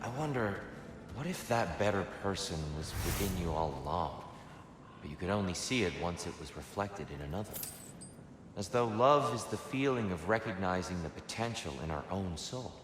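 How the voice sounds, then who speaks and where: A gentle male god from a fantasy game, offering thoughtful counsel in a low voice. His measured words ring through a long, hall-like reverb with music behind them. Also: lively intonation. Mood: reflective. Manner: measured, philosophical, questioning. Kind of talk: dramatic video game dialogue.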